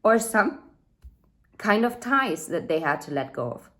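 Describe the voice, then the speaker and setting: A woman's voice: mid-range voice, very animated, unhurried. A woman in her thirties giving a casual tarot reading, chatty and thinking aloud with fillers and asides. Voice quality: says she has a loud voice. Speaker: tarot reader.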